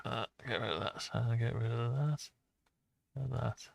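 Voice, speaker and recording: A man thinking aloud and muttering to himself through an unscripted software walkthrough. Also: mid-range voice, steady tone, unhurried, creaky, no echo. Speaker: hobbyist tutorial maker.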